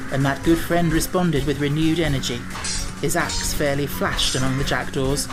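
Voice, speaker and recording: A woman reading a classic children's fantasy book aloud, giving the lines clear storybook narration. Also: sits low, poor audio. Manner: expressive reading with lively character voices. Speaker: audiobook narrator.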